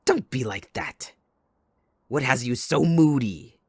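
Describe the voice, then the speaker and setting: A man playing a sadistic, possessive supervillain kidnapper in an audio roleplay, taunting theatrically and mock-sweetly, moving between hushed menace and outbursts. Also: mid-range voice, expressive, slow pace, husky, dry recording, clean studio sound, exaggerated voice. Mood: mock-mournful, taunting. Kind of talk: dramatic audio roleplay monologue.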